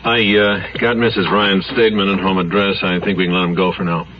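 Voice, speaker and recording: An actor playing an uneasy, squeamish junior police officer in a vintage radio crime drama, hesitant, anxious and questioning. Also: male voice, deep voice, flat delivery, a little fast, background noise, lightly acted. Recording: dull old radio recording, loud crowd sound.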